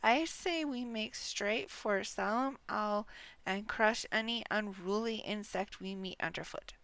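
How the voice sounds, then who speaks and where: Woman doing a blunt impatient warrior guy from a fantasy game, brusque, slow deliberate talk. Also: mid-range voice, a bit bright, smooth, dry recording. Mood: impatient, scornful.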